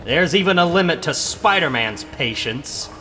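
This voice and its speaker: A man playing a secretive, put-upon teenage boy with quiet resentment, guarded and hesitant in a comic book dramatic reading. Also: on the high side, expressive, moderate pace, faint music behind. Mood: simmering.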